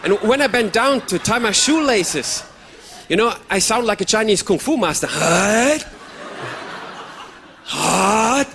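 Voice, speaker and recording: A man's voice: sits high, animated, slow, long pauses, a bit bright, a little hiss or hum. A man in his thirties doing a stand-up comedy routine, talking to the crowd and mimicking sounds and voices. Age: 30s.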